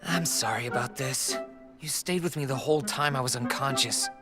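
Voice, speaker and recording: A man's voice: medium pitch, moderate pace, natural voice. A man playing a wisecracking teenage boy in an anime dub, casual and dramatic, given to muttered asides. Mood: subdued, reflective. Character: excitable, wisecracking teenage boy lost in a fantasy world. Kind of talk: English anime dub acting. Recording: music behind the voice.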